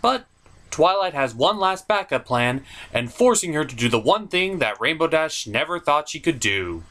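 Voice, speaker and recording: A man's voice: on the high side, sing-song, normal speed, bright, forceful tone. A man hosting a puppet review show, narrating a scripted comedic cartoon review in a theatrical, mock-exasperated manner.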